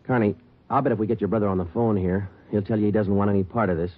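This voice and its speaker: Phone-thin 1940s radio, man acting as terse detective, very deep, rough-voiced, deadpan, businesslike. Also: rapid-fire, lightly acted. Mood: firm. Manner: clipped, deadpan. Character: terse, businesslike male police detective who also narrates. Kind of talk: 1940s radio police drama acting and narration. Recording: tinny old radio recording.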